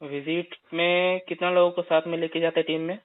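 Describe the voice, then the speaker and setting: A man's voice: on the high side, completely flat delivery, fairly quick, clear, resonant tone, dry recording. A man on a recorded phone call, questioning the other party and probing with follow-up questions. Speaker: caller questioning a recovery agent. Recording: dry recorded phone call, slightly dull.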